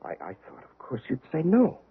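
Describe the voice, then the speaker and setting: A man's voice: a little high, wildly swooping pitch, fairly quick, breathy edge, heavily acted. A man playing an anxious, loving, protective husband in a 1970s radio drama, with an intense, pleading delivery. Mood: worried, incredulous. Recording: muffled old radio broadcast.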